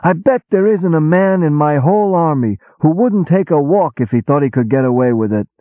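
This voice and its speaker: A man doing a loud brash army boss, Big Julie. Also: fairly high pitch, moderate pace, dry recording, voice pushed up, lightly acted. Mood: streetwise. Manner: sarcastic, excitable, shouting, streetwise gangster talk. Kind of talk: audiobook character voice. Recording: tinny phone-like sound.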